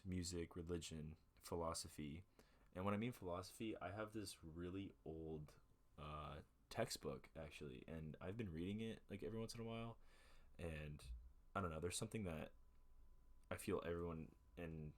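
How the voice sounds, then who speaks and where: A young man hosting a casual home podcast, rambling with lots of fillers and self-corrections into a desk mic. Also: a bass voice. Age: young adult. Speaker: podcast host. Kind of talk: relaxed casual chat. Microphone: USB desk mic with headphones.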